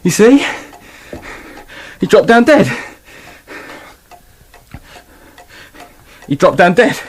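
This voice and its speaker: An actor playing a flippant, bossy storybook writer in a radio play, breezy, dismissive and colloquial. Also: male voice, sits high, very animated, unhurried, full character voice. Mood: brisk, offhand.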